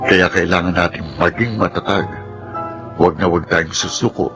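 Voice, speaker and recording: A man's voice: deep voice, steady tone, moderate pace, natural voice. A man playing a stoic, good-natured young Filipino accepting hardship, speaking earnestly and reflectively in a radio drama. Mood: reflective, resolute. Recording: music under the voice.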